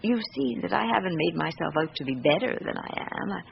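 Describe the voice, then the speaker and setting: A wounded, middle-aged British wife confides her grievances in a radio drama. She is candid and reflective, choosing phrases deliberately. The old recording is muffled, with slight noise. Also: on the low side, lively intonation, vocal fry. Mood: candid, confiding.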